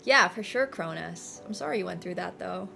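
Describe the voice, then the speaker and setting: A woman's voice: fairly low pitch, expressive, normal speed, creaky, sharp tone, soft background music. Chatty young woman streamer, Finnish native. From Finland. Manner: chatty, laughs often, lots of "like" and "bro". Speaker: livestreamer. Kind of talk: casual stream chat.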